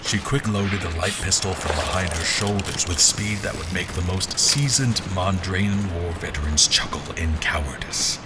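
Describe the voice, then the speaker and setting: A man playing a seasoned general who narrates his sea adventure in a measured, literary, dramatic style for an audio drama. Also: low voice, heavy background noise, lightly acted. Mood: measured suspense. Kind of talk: dramatic first-person scripted narration. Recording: music under the voice.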